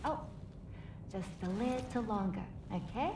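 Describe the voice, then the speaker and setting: An actress playing a gentle woman in a video game, singing softly with tender, soothing murmured asides. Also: mid-range voice, expressive, unhurried, echoey room, background noise.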